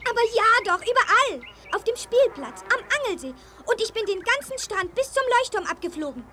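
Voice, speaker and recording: A woman playing an agitated young female seagull with a shrill, squeaky voice in a children's audio play. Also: big pitch swings, brisk, very noisy. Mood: worried, urgent. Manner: agitated, urgent. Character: excited young female seagull, a worried friend.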